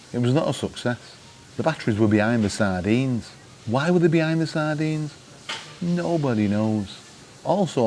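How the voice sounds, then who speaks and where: A man's voice: mid-range voice, a little mellow, a little hiss or hum. A British man chatting casually as a vlog host, with a jokey, wry, mock-exasperated way of telling things. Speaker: vlog host giving travel gadget tips. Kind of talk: casual chat to camera.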